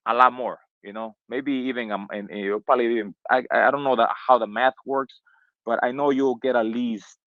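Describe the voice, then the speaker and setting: A man's voice: medium pitch, steady tone, brisk, dry recording. A man from Honduras talking casually on an online call, rambling with many restarts and fillers. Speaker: community member on a crypto call. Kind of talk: casual chat. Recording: dull lo-fi call audio.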